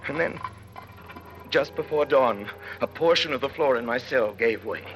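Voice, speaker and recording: A man's voice: mid-range voice, animated, moderate pace, natural voice. An actor playing an anguished prisoner who recounts his years in a dungeon, grave and intense, in classic radio drama style. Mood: intense, solemn. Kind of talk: classic radio drama acting, dramatic first-person narration. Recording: noisy old radio recording.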